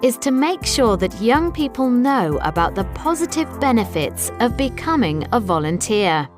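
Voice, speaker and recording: A woman reads scripted documentary narration for learners in a measured, clearly enunciated way. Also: fairly high pitch, big pitch swings. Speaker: narrator of an educational video report. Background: background music.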